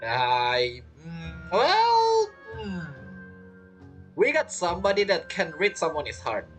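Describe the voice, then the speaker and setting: A man's voice: high voice, huge pitch swings, slow pace, soft background music. A man giving casual gameplay commentary as a streamer, rambling and playful, with non-native phrasing. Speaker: game streamer.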